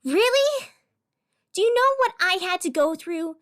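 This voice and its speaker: Actress doing a bitter young lady radio host, high voice, slow n sardonic, audio drama. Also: animated, a bit bright, exaggerated voice. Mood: angry, hurt. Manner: sardonic, theatrical, pausing. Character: wry young female city radio host, bitter and wounded. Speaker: fictional radio show host. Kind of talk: scripted audio drama monologue.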